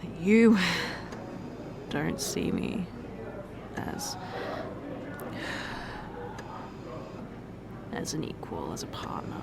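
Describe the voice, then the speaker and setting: A woman playing a distraught young fiancée who confesses in halting speech, often restarting her sentences. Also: sits low, huge pitch swings, gravelly, heavy background noise, full character voice. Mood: hushed, wounded. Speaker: ASMR roleplay performer. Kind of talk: ASMR roleplay.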